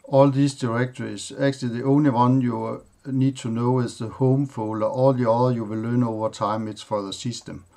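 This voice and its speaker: A man whose first language is Danish, hosting a tech tutorial and walking through his computer setup in a plain, halting way, listing items with pauses and fillers. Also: mid-range voice, steady tone, vocal fry, mellow. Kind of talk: screen-recorded software walkthrough.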